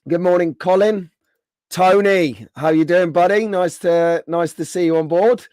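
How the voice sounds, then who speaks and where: A man hosting a casual live stream, greeting viewers by name, his voice slightly hoarse from being under the weather. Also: sits high, unhurried, darkish tone, no echo.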